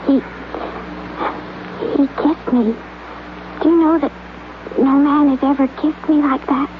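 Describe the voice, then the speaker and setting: A young actress plays a gentle, trusting young woman who speaks softly and stumbles over her words in a 1950s radio drama. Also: high-pitched, steady tone, slow pace. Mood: tender. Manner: hesitant, soft-spoken, stumbles over words. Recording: tinny, hissy old radio broadcast.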